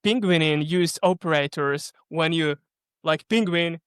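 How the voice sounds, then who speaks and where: Animated man, smooth higher voice. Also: unhurried, dry recording, studio quality. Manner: animated, stumbling, asks fellow guests questions. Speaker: actor and interview guest. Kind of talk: casual interview chat.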